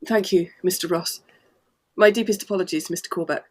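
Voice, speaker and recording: An actress playing an anxious, well-bred daughter in an audio drama, husky, polite and formal. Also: female voice, fairly low pitch, brisk, a little mellow, lightly acted. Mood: apologetic, troubled.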